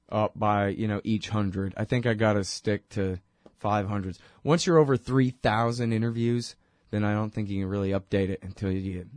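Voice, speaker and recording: A man's voice: fairly low pitch, darkish tone, no echo, studio quality. A man with a head cold hosting his own talk show, rambling and sarcastic as he talks through his segment. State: congested from a cold. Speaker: podcast host. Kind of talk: solo talk-show monologue.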